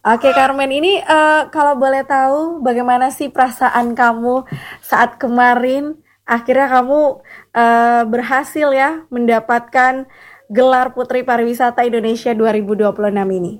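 High-voiced chatty woman presenter. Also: steady tone, moderate pace. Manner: friendly chatty, sprinkles English. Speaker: radio presenter. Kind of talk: radio interview.

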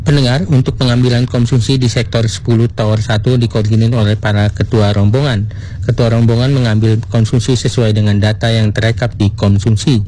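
A man's voice: mid-range voice, steady tone, a little fast, warm tone, slight background noise, rough recording. A man with an Indonesian accent reading a scripted report as a radio news reporter.

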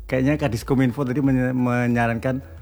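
A man with a Javanese accent co-hosting a casual radio chat, upbeat and agreeable, quick to affirm. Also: mid-range voice, flat delivery, darkish tone, soft background music. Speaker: radio co-host. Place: radio studio.

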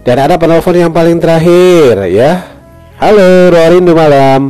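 A man's voice: on the high side, lively intonation, moderate pace, warm tone. A man with an Ambonese Malay accent hosting a late-night radio call-in show, chatting and reading out listener messages in a jovial way. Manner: jovial, often chuckling. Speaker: radio host. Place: radio studio. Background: music in the background.

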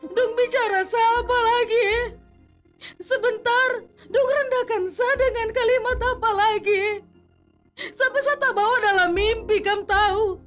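A woman's voice: very high-pitched, fairly bright tone. A woman playing a hurt young office worker who speaks out tearfully against her friends. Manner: tearful, earnest confronting. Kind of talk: comedy skit acting.

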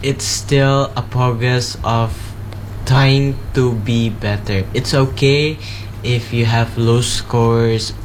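A teenage student guest with an Indonesian accent, speaking slowly and earnestly with hesitations in a casual school podcast. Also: male voice, mid-range voice, fairly even intonation, a little hiss or hum, low-quality recording.

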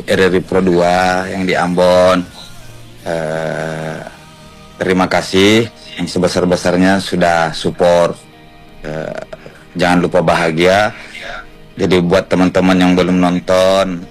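Deep flat-voiced man, earnest. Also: rough recording. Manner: earnest, reflective. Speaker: film actor, guest. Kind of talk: radio interview message.

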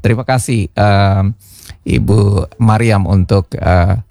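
A man with a Kupang accent hosting a radio talk show, measured and affirming, guiding the guests. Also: on the low side, steady tone, a little mellow, no echo. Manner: measured, affirming with frequent backchannels.

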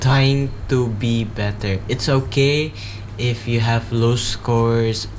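A teenage student with an Indonesian accent, giving classmates an earnest, halting pep talk and correcting himself as he goes. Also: mid-range voice, steady tone, low-quality recording. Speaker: student guest. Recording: background ambience noise.

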